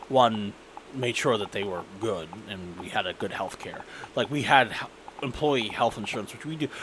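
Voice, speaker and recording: A man's voice: mid-range voice, a bit bright, slight background noise, rough recording. A man giving casual, rambling commentary and stories while playing a game, with an irreverent, sweary manner. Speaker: gaming video creator narrating gameplay.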